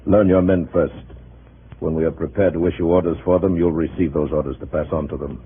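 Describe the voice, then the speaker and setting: Very deep, domineering party boss. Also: male voice, steady tone, husky. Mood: stern, insistent. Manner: cold, curt, commanding, deliberate. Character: big, stern, domineering communist party boss. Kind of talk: 1950s radio drama acting. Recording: phone-narrow old radio recording, faint noise.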